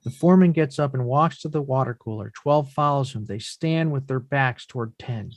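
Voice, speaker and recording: Measured male narrator, dry close sound. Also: mid-range voice, no room sound. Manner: measured, descriptive. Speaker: reader of stage directions. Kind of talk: scripted narration of a play.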